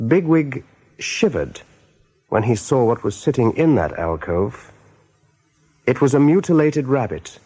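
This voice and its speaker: An actor as the storyteller of a radio play, narrating dramatically in a husky male voice. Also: mid-range voice, a little mellow. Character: storyteller narrating an animal adventure.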